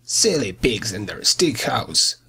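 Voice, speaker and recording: A man voicing a boastful, sly, greedy big bad wolf in a storybook tale, raspy and theatrical. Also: fairly low pitch, sing-song, slow, bright, forceful tone, voice pushed down, exaggerated voice. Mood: mocking. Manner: scheming, theatrical.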